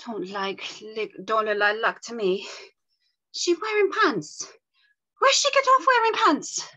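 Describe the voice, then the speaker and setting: A woman's voice: fairly high pitch, expressive, unhurried, pushed and bright, full character voice, poor audio. A woman voicing a wary, blunt enslaved woman of twenty in the old South, with folksy grammar, at a script reading. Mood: incredulous. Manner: folksy dropped words, grumbling. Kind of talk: script table read.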